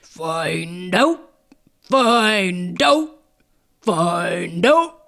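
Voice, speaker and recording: A woman's voice: lowish voice, expressive, extremely slow, vocal fry, fairly bright tone, soft background music, exaggerated voice. A woman voicing a big bullfrog in a storybook, bellowing in short, imperative, drumming bursts. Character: big green bullfrog bellowing commands from a log. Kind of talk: audiobook creature voice.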